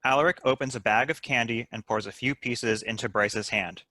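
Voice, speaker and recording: Bright, crisp-sounding man reading stage directions. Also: mid-range voice, normal speed, no echo. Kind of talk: scripted narration at a table read.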